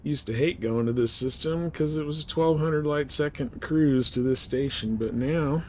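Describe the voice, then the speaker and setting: A man's voice: a little high, moderate pace, slight background noise. A man chatting casually with viewers as he live streams a game. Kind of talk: casual chat over gameplay.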